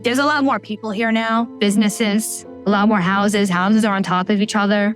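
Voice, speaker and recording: A woman talks in a casual, candid way. Her pitch is ordinary, and soft music plays underneath. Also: steady tone, moderate pace. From Staten Island, New York. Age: 40s. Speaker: local resident interviewee. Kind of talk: documentary interview.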